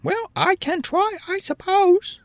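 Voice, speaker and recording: A man voicing a proud, indignant female hen with a shrill, squawky voice, clucking and defiant. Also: takes it slowly, vocal fry, no echo, exaggerated voice.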